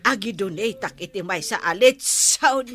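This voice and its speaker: A woman's voice: mid-range voice, lively intonation, measured pace, fairly bright tone. A woman playing a nosy, teasing aunt in an Ilocano comedy drama, prodding and drawling, with a Filipino Ilocano accent. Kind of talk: Ilocano radio drama acting.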